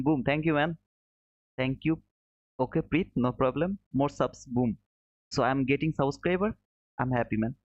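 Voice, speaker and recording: A man from Bangladesh, hosting a casual trading livestream, reading viewer chat and calling viewers brother. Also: dry recording. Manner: friendly and chatty, calls viewers brother, says boom. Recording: dull, slightly muffled, dry.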